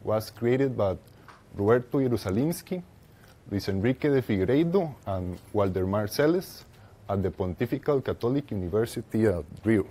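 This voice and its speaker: A young man with a Costa Rican Spanish accent giving a technical presentation as a conference speaker, haltingly, with pauses mid-phrase. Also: on the low side, lively intonation, mellow. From Costa Rica. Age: young adult. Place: lecture room with seated audience, indoors.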